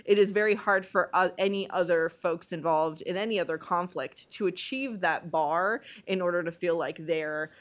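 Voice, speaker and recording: A woman's voice: on the low side, normal speed, few pauses. A woman from Bosnia and Herzegovina speaking informally as a panelist, thinking aloud hesitantly with some self-deprecating humour. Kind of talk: informal spoken panel commentary.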